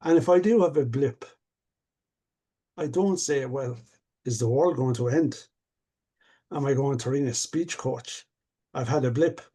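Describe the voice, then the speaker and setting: A man's voice: mid-range voice, unhurried, pauses often, warm tone, husky. An older man who works as a stuttering coach, speaking earnestly and reflectively in a casual conversation.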